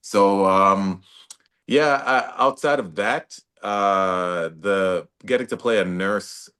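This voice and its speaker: A young man from Toronto, an actor guest talking in a relaxed, casual way with many fillers and restarts. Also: lowish voice, takes it slowly, clean tone. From Toronto, Canada. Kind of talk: casual interview talk.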